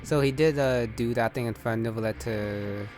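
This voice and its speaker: A man's voice: medium pitch, moderate pace, darkish tone. A man giving casual, offhand gameplay commentary, thinking aloud and trailing off. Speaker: gamer playing and commenting. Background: game music.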